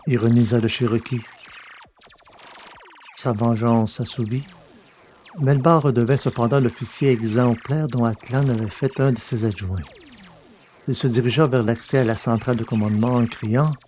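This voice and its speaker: A man's voice: mid-range voice, fairly even intonation, normal speed, long pauses, a little mellow, slight background noise. A man narrating a science-fiction adventure novel as a scripted audiobook reading.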